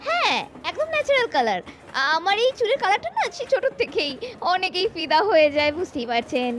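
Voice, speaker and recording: Woman voicing a high, coy, vain young beauty. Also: sing-song, fairly quick, fairly bright tone, slight background noise, full character voice. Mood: coy, flattered. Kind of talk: doll-cartoon dialogue.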